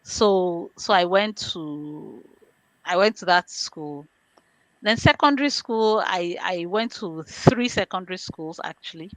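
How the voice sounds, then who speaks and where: A Nigerian-accented woman with a fairly low voice, recorded close and dry. She speaks as an interview guest, explaining conversationally in plain, step-by-step terms. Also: measured pace, no room sound, low-quality recording. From born in London, grew up in Nigeria. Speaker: interview guest, information governance specialist.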